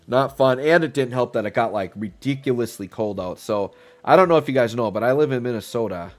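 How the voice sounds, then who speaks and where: A man's voice: medium pitch, fairly quick. A man with a stuffy, congested voice, chatting casually and rambling as he streams a game live. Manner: relaxed, rambling, thinks aloud with fillers. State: stuffy, getting over a cold. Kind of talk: casual live-stream commentary while playing. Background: faint background music.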